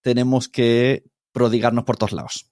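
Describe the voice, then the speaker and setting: A middle-aged man with a Spanish accent from Spain speaks in a casual interview style. His voice sits at an average pitch, in a dry, close acoustic. He explains things informally, as a podcast producer would to a colleague. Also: little pitch movement, moderate pace, a little mellow, dry recording.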